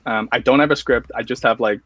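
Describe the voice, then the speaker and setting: A man's voice: medium pitch, fairly even intonation, fast talker, fairly bright tone, no room sound. A man answers interview questions in an earnest, explanatory way, laying out his method step by step. His voice sits in the middle range. The audio is muffled and dry, like a remote call. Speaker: guest, video reviewer.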